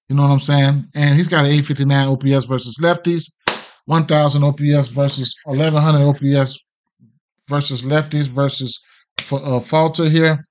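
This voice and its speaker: A middle-aged man with an American accent and a slightly higher-pitched voice. He talks like a casual sports betting streamer, thinking out loud and trailing off as he goes. His delivery is loose, slangy and peppered with profanity. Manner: slangy, profane, hesitant and rambling. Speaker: sports betting show host. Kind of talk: casual off-the-cuff livestream betting picks.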